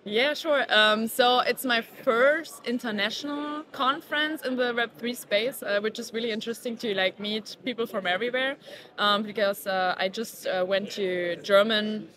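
A woman in her thirties, a startup co-founder, answering interview questions in a halting way, with many fillers and restarts. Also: a little high. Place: busy indoor conference venue. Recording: conference crowd chatter behind.

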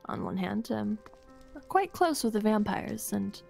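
A sly, smug witch, played by a woman in a game roleplay, speaks at a middling pitch with music underneath. She is coy and hesitant, drawing out her teasing phrases. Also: big pitch swings, unhurried, creaky, darkish tone, exaggerated voice. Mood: sly, bargaining. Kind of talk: improvised game roleplay acting. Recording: music behind the voice. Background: background music.

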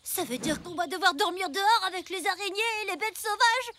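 A woman's voice: very high-pitched, animated, a little fast, noisy background. A woman voicing a sneering, know-it-all child in a French children's cartoon. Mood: smug. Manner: mocking, smug.

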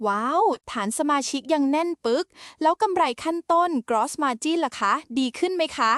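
High-pitched woman co-hosting a finance show. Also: lively intonation, moderate pace, fairly bright tone, dry recording, clean studio sound. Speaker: co-host asking questions. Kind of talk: scripted conversational finance podcast.

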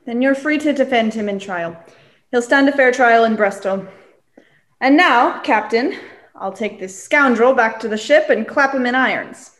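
A young woman playing a stern, blustering gentleman squire in a radio play. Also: a little high, normal speed, close to an everyday voice. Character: blustering, indignant English squire, male gentleman. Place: indoor room, remote video recording. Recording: echoey room, remote recording.

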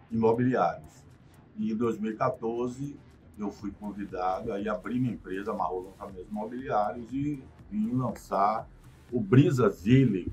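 A man, a guest in a radio studio interview explaining things in a measured way, often adding "né". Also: lowish voice, a little mellow, low-quality recording. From Salvador, Bahia, Brazil. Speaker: guest, real estate developer. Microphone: studio condenser mic on boom arm.

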